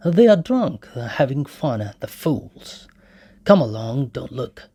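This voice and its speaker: A father gently coaxes his son away from the scene, as a male narrator voices him. He sounds calm and hushing, close to the reader's own voice. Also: medium pitch, slow pace, slightly rough, voice pushed up, heavily acted. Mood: soothing.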